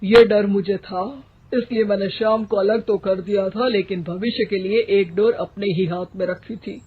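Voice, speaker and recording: An actor playing a shrewd, forgiving father in an animated moral story, measured and paternal. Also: medium pitch, slight background noise, lightly acted. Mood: knowing, forgiving. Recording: thin phone-like sound.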